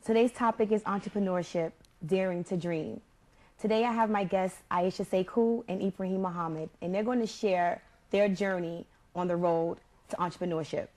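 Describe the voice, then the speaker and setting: Woman hosting a talk show, kinda low voice, easygoing interviewer. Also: normal speed, a little mellow.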